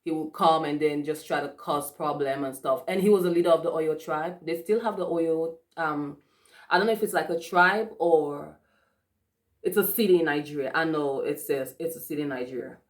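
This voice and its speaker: A woman's voice: deep voice, normal speed. A young woman from Africa giving a casual, chatty movie review with lots of fillers and restarts. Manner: chatty, many fillers and restarts, frequent laughs. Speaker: vlogger.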